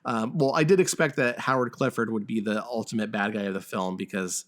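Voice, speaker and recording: A man hosting a podcast, talking casually through a film's plot with a halting, stammering delivery. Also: on the low side, steady tone. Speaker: podcast host. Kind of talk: casual film discussion and plot recap.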